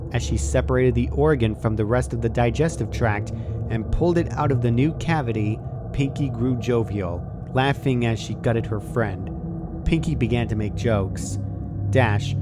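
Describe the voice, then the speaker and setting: A man's voice: mid-range voice, noisy background. A man reading scripted horror fan fiction aloud as the narrator.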